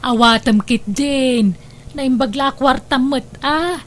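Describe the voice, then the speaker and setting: A woman's voice: high-pitched, slow. A woman playing a caring, soothing female relative in an Ilocano radio drama. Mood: gentle.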